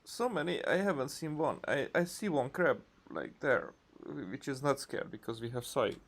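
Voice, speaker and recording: A man's voice: mid-range voice, lively intonation, moderate pace, vocal fry, a bit bright, a little hiss or hum. A man giving casual, off-the-cuff commentary as he plays a video game, muttering his reactions. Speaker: gaming video creator playing and commenting.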